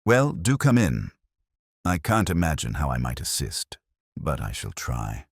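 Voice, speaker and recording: A man voicing a prim, guarded finance clerk who speaks softly in short, clipped phrases. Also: very deep voice, wildly swooping pitch, a little slow, slightly rough, no echo, studio quality, exaggerated voice. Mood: guarded polite. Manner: soft-spoken, clipped and prim.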